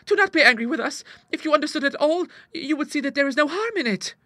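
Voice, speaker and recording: A man voicing a sweet young woman who pleads in a high, girlish voice. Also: fairly quick, pushed and bright, no room sound, full character voice. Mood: pleading.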